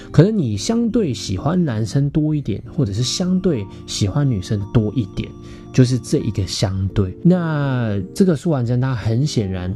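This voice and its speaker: A man's voice: medium pitch, mellow. A young man with a Taiwanese Mandarin accent, hosting a podcast and telling a personal story expressively and conversationally, often turning to address his listeners. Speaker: podcast host. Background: background music, guitar.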